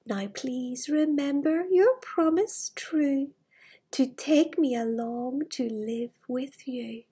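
A woman plays a small storybook frog, male and high-pitched. He pleads slowly in a drawn-out singsong, polite but insistent. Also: dark tone, husky, exaggerated voice. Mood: singsong pleading.

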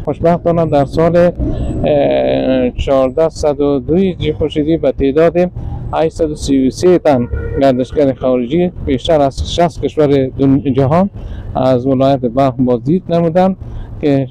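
Afghan dari guy, middle-aged official, talks super fast, very muffled dark tone, bit of outdoor noise. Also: fairly high pitch, gravelly. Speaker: provincial culture department official. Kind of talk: formal interview statement. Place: outdoors in a garden with trees. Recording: faint outdoor ambient noise.

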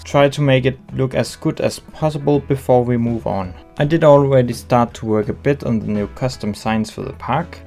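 Calm man with a Danish accent. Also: medium pitch. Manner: calm, explanatory, slightly non-native phrasing. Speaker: game video creator. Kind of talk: voice-over narration of a game speed build.

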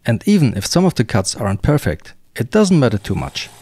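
Man narrating a woodworking build, lively pitch. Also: brisk, breathy edge, no room sound, clean studio sound. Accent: German. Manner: calm, plain step-by-step explaining. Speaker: woodworking channel host. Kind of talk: scripted voice-over narration of a craft project.

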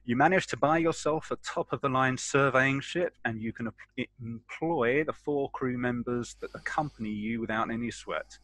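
Bright-voiced man reading, dry close sound. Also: medium pitch, normal speed, few pauses, no room sound. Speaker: player. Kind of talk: reading out a character sheet at tabletop game. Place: indoors at home, online video call.

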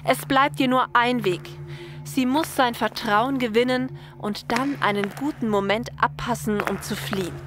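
A woman's voice: fairly high pitch, measured pace, background noise. A woman narrator reading a scripted story. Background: faint music.

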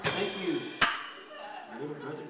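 A man's voice: fairly high pitch, moderate pace. A young man giving a comedic dramatic reading to a live audience, drawing words out and repeating phrases with mock drama. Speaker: performer leading a comic reading on stage. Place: church stage, indoors. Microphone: handheld microphone through PA, filmed from the audience. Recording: roomy, distant audience camera recording. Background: loud live instrumental music.

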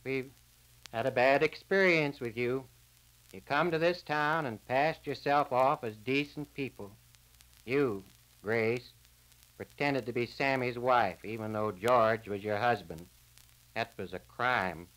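An actor playing an earnest small-town man delivering a verdict in a measured, plain-spoken way, on a crackly 1940s radio drama disc. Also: medium pitch, a bit bright. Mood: stern.

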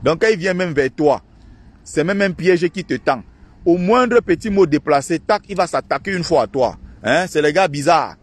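A man with a husky, slightly high voice rants to his followers in an indignant, mocking tone. He speaks into a phone he holds outdoors by a lake in a park. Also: lively intonation. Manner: indignant, ranting and mocking, quick shifts in pitch. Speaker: online activist and channel host. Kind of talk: outdoor vlog monologue.

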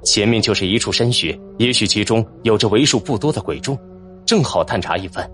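A man with a fairly low voice narrates a fantasy audiobook. His delivery is dramatic and animated in pitch, with faint music underneath. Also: brisk.

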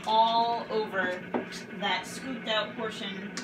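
A woman co-hosts a home cooking show, giving practical steps and dropping dry quips. She is heard from a distance in an echoey kitchen over loud background noise. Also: mid-range voice, fairly even intonation, slow. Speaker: co-host. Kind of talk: casual cooking show banter. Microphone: far camera.

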